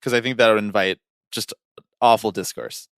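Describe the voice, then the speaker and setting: A man's voice: lowish voice, steady tone, moderate pace, a bit bright, clear, resonant tone, dry recording, studio quality. A man co-hosting a podcast in casual banter, with a teasing, opinionated way of talking. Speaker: podcast co-host.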